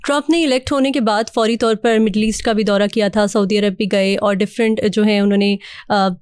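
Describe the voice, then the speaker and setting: A woman's voice: mid-range voice, steady tone, a little fast, clear, resonant tone, no echo. A woman hosting a political talk show and putting questions to a guest. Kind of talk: political interview.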